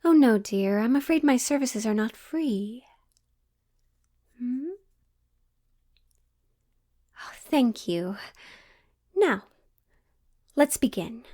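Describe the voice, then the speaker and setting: A woman playing a coy, theatrical witch who reads tarot, teasing and mysterious, coaxing the listener with dramatic pauses in an audio roleplay. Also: on the high side, animated, mellow. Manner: slow, coaxing, endearments, dramatic pauses, breaks into near-whispers. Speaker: tarot reader.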